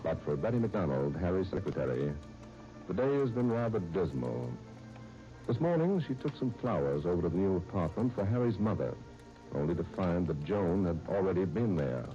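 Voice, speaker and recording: American man, vintage radio narrator, formal, polished, dark tone, dull old recording. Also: low-pitched, sing-song. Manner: formal, measured, polished delivery. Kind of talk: 1930s radio scripted narration. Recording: muffled 1930s radio with music behind.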